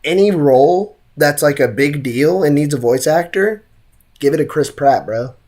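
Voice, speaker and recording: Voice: medium pitch, lively intonation, moderate pace, darkish tone. A game streamer giving casual livestream commentary in a joking, slangy way.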